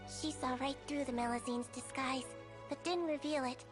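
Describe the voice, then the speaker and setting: A woman voices a small, gentle girl doctor with a very high, youthful voice. She speaks earnestly and with measured care, as a video game character. Soft music sits faintly behind her. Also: fairly even intonation, natural voice. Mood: solemn storytelling.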